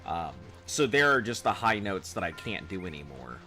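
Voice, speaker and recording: A man with a baritone voice chatting casually with viewers on a live game stream, in a loose, rambling way. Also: sing-song, moderate pace, bright, forceful tone, soft background music. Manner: rambling, loose, chuckling at chat.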